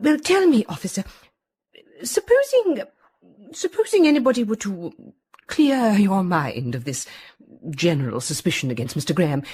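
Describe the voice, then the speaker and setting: A woman playing an anxious, genteel lady in a radio drama, speaking in a breathy, husky voice with halting, polite agitation. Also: medium pitch, darkish tone. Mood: nervous, pleading. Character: anxious, genteel, hesitant woman seeking discreet reassurance. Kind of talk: British radio detective drama acting.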